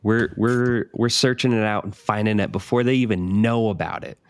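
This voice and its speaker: A man co-hosting a music radio show, chatting in a relaxed way and backing up the others. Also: fairly low pitch, normal speed, clean tone, dry recording. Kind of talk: casual chat.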